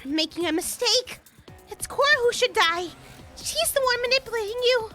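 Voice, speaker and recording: A woman's voice: very high-pitched, expressive, brisk, sharp tone, faint music behind, exaggerated voice. A woman voicing a small, furious girl who screams threats at the others.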